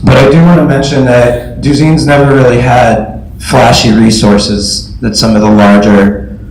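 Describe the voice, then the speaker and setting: A man with a scratchy voice, a teacher and parent, speaking at a school board meeting in a measured, halting way. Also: medium pitch, steady tone, a little mellow. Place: meeting room. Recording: roomy meeting hall audio, faint noise.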